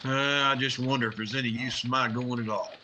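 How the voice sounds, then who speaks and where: A man's voice: mid-range voice, steady tone, fairly quick, bright, forceful tone, highs cut off, a little hiss or hum. A man playing a jaded, sardonic reporter, a little hesitant and uneasy, in a 1950s-style radio drama. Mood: wry, uneasy. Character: wry, jaded newspaperman, uneasy right-hand man.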